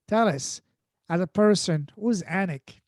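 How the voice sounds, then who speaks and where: Male podcast host, native French speaker: high, mellow voice, effusive delivery with repeated words. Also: measured pace, no room sound, studio quality. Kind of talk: enthusiastic podcast introduction and interviewing.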